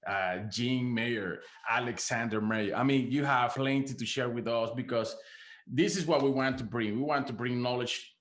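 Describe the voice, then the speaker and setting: Venezuelan guy hosting a podcast, accent, eager, repeats himself a lot, mid pitch. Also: moderate pace, fairly bright tone. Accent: Venezuelan Spanish. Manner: enthusiastic, repeats words, laughs often. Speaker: podcast host. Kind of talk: casual interview.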